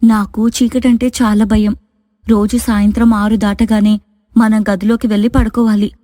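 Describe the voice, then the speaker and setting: A woman voices a reserved young wife who guards a secret in a fairy tale. She explains earnestly in a mellow, fairly even voice, recorded dry. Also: medium pitch, moderate pace, no echo, natural voice. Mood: firm.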